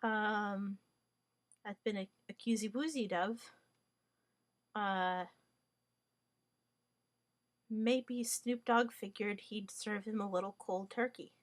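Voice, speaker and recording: A woman's voice: medium pitch, fairly even intonation, slow pace, long pauses. A woman whose first language is Hungarian, giving a wry, rambling monologue full of fillers, restarts and mock-formal wording. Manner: hesitant, many fillers and restarts.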